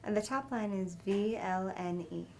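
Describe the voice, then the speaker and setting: A woman's voice: mid-range voice, normal speed, mellow. A woman as an eye exam patient, reading out letters and giving short answers.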